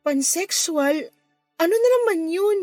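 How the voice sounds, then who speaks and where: A woman's voice: very high voice, expressive, unhurried, darkish tone, no echo, faint music behind. A woman acting a troubled, hurt young girlfriend in a Tagalog radio drama. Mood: confused, disbelieving.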